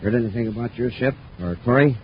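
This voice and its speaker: A man's voice: mid-range voice, quick pace, lightly acted. An actor playing a cautious, questioning male henchman in a 1950s radio crime-in-space serial. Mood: suspicious. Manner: questioning, uneasy. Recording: muffled, noisy 1950s radio recording.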